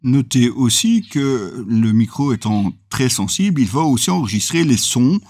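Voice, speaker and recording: A man's voice: medium pitch, lively intonation, normal speed, darkish tone. Man presenting a gear review, explanatory. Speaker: motorcycle gear reviewer and video host. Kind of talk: semi-scripted product review to camera.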